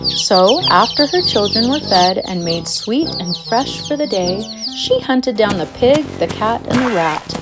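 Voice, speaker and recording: Expressive woman storyteller over music. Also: mid-range voice, unhurried, hardly any pauses. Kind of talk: scripted children's fairytale narration. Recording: music behind the narration.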